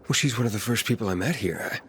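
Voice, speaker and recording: An actor playing a casual, guarded young male wanderer, the hero of a video game, with a gravelly voice. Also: fairly low pitch, fast, fairly bright tone, a little hiss or hum, lightly acted. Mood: surprised. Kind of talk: video game cutscene acting.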